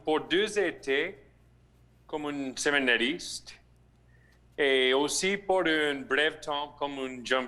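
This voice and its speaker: A priest with an American accent and English as his first language, answering interview questions slowly and haltingly, with restarts. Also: medium pitch, bright, forceful tone. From United States (Missouri). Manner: slow, halting, with restarts and searched-for words. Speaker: rector of a shrine, priest.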